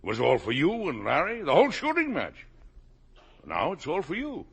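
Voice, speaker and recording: A man's voice: a little high, wildly swooping pitch, normal speed, a bit bright, slightly rough, exaggerated voice. An actor playing a worried working-class American father in a folksy, emphatic 1950s radio drama style. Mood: agitated, pleading. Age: middle-aged. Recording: old 1950s radio broadcast.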